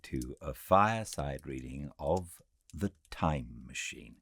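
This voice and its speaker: A British man narrates classic fiction in a very deep voice. His storytelling is slow and measured, yet the intonation moves expressively up and down, in the manner of a practised audiobook reader. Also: lively intonation, a bit bright. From Britain. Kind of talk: scripted literary narration.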